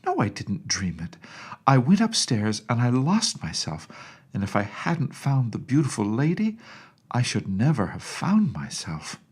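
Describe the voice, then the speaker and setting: Man acting an earnest little princess, pitched up. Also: huge pitch swings, unhurried, a little mellow, breathy edge, full character voice. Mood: insistent, earnest. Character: earnest, indignant little princess, a young girl. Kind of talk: acted storybook dialogue.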